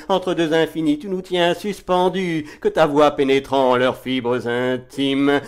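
Male poetry reader, mid-range pitch, very measured pace, dramatic and impassioned declamation. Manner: declamatory, impassioned, swelling from hushed to forceful. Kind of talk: dramatic French poetry recitation.

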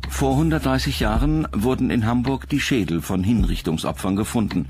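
A man's voice: mid-range voice, moderate pace, darkish tone. A man reading scripted documentary narration as the narrator. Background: music behind the voice.

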